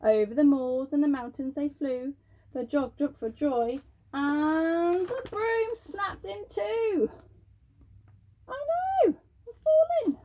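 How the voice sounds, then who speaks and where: A woman's voice: high voice, mellow. A woman storyteller reading a children's bedtime story aloud expressively, speaking directly to young listeners.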